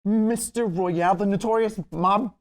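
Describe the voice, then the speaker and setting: A man's voice: high voice, measured pace. A man playing an anxious, incredulous young nephew in a comedy table read, nervous and exclaiming. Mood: worried disbelief.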